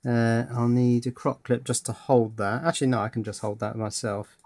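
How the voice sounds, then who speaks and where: Male electronics hobbyist presenter, mid-pitched voice, informal running commentary while working at a bench. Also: level tone, normal speed. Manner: thinking aloud, informal. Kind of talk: casual hands-on demonstration commentary. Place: indoors at a workbench. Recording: music playing in the room.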